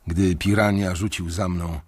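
Young bank analyst telling his own story, low husky voice, tense and careful. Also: fairly even intonation, measured pace. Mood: wary. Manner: restrained first-person narration. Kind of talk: radio drama narration.

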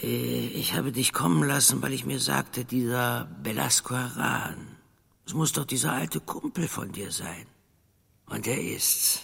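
A smug gangster boss in a radio crime drama. His voice is husky and full of creak, with a chummy, hesitant way of threatening. It rings in a long reverb. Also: medium pitch, unhurried, fairly bright tone, heavily acted. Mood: oily, chummy. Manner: oily, hesitant, chummy phrasing with veiled threats. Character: smug, menacing male gangster boss nicknamed the Rat. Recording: long reverberant hall sound.

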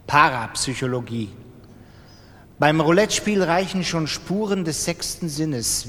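A man reads out a scripted announcement slowly. His voice is fairly high for a man and echoes as in a hall. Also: fairly bright tone. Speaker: reader of printed adverts.

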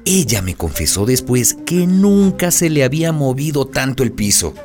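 A man's voice: medium pitch, very animated, normal speed, music in the background. A man narrating and acting a radio host in a radio drama, explaining in a persuasive way.

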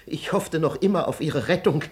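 A man's voice: fairly high pitch, normal speed, a little mellow, close to an everyday voice. Give a fretful manservant, played by a man, who moralizes and pleads with his master. The delivery is plaintive and theatrical, in the manner of classical comic radio drama. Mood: alarmed, pleading. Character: anxious, moralizing, lamenting manservant of a nobleman. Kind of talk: classical radio play acting.

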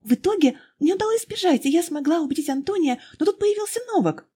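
A narrator voicing an excitable, earnest young woman who speaks emphatically in a storybook reading. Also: very high-pitched, quick pace, voice pushed up. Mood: indignant.

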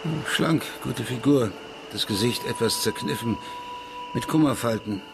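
A man's voice: mid-range voice, a little slow, creaky, noisy background. Troubled, terse male ex-detective. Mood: subdued, matter-of-fact. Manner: terse, clipped recollection. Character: troubled, guilt-ridden male ex-detective, defensive and brooding. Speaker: client being questioned. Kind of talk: German radio crime drama acting. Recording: studio radio play with music underneath. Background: music.

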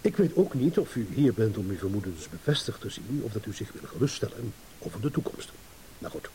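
Suave businessman, mellow, animated delivery. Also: on the low side, rough recording. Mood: careful, denying. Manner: smooth, ironic, measured with deliberate pauses. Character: suave, evasive businessman and ladies' man, athletic. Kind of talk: radio play acting. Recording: hissy old radio play recording.

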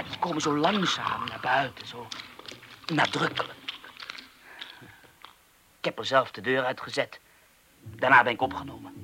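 A haunted loner in a radio play, speaking in a slow, brooding way with sudden hushed drops. His voice sits a bit high for a man. Music plays clearly behind him. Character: unsettled man, oversensitive to noise, speaking bleakly and calmly. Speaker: troubled man being questioned about a friend's death.

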